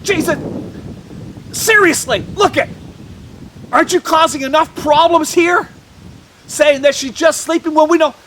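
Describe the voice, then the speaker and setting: A man storyteller plays an indignant mourner in a Bible tale. The voice is very high, halting and colloquial, full of scoffing disbelief, with faint noise in the background. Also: expressive, low-quality recording. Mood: exasperated.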